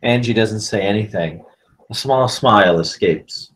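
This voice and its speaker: Deep-voiced male screenplay narrator. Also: a little slow, rough recording. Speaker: stage-direction narrator. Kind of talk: scripted narration at a film table read.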